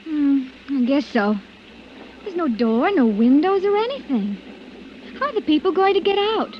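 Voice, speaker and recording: An actress playing an anxious, loyal, deferential suburban housewife in an old radio drama. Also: female voice, sits high, big pitch swings, normal speed. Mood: wondering, uneasy. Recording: muffled, hissy vintage radio recording.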